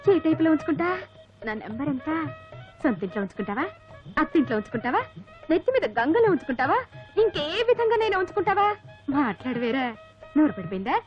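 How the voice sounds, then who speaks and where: Saucy, very shrill young woman. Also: big pitch swings, fast talker, long pauses. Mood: mocking. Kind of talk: Telugu comedy film acting. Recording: dull film soundtrack, clear music.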